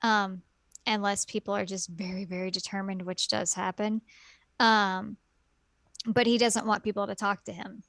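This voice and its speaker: A woman's voice: medium pitch, level tone, moderate pace, gravelly, fairly bright tone, no echo. Giggly, rambling woman podcast co-host. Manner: rambling, giggly, with many fillers. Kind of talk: casual podcast chat.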